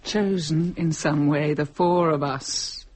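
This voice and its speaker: Eerie withdrawn seer, deep for a woman, slow, ominous, faintly noisy recording. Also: steady tone, darkish tone. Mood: eerie, foreboding. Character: eerie, withdrawn, intuitive woman sensing evil. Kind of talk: dramatic dialogue acting, audio thriller.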